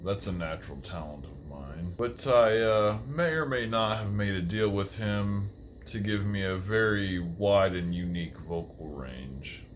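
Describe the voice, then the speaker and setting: A man voicing an undead metal musician, a brooding but polite reaper giving earnest, measured interview answers. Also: low voice, slight background noise. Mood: candid, brooding. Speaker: talk show guest.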